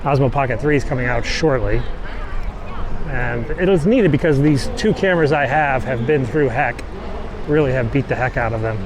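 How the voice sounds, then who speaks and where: A man's voice: medium pitch, normal speed. A man giving casual on-the-go narration as a vlogger, outdoors in the city on a handheld camera. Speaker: vlogger filming street incidents. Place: outdoors on city streets and a bike path. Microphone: handheld pocket camera. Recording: noisy outdoor city street with traffic. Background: traffic and city noise.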